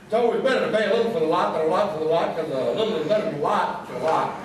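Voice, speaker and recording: In a very echoey space with a crowd around, a man acts out a muddled small-town old-timer. His voice is high for a man, and he rambles along in a folksy, obtuse way as the fool of a radio comedy act. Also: racing, lightly acted. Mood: amiably muddled. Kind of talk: comic radio double act before an audience. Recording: distant, very echoey stage, audience behind.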